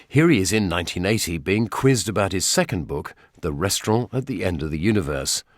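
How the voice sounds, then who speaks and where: British male radio presenter, middle-aged. Low, lively-pitched narration, recorded close and dry. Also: brisk, no room sound. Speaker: documentary presenter.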